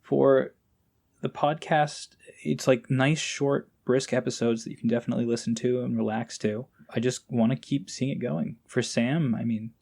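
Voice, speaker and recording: A man's voice: medium pitch, steady tone, normal speed, gravelly, darkish tone. A man speaking as a podcast guest in a casual, informal and somewhat hesitant, enthusiastic way. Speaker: podcast guest, amateur voice actor. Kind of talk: casual interview chat.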